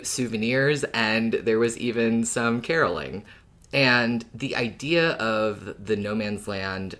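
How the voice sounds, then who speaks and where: A man's voice: fairly low pitch, fairly bright tone. A man co-hosting a podcast, explaining things informally with conversational asides. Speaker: podcast co-host.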